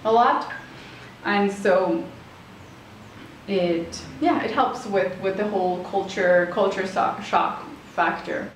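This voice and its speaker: A woman's voice: mid-range voice, slow, distant mic. Rambling woman in an echoey room. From Switzerland. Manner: rambling, searching for words. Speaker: interview guest. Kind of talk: casual chat. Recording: very echoey room with faint noise.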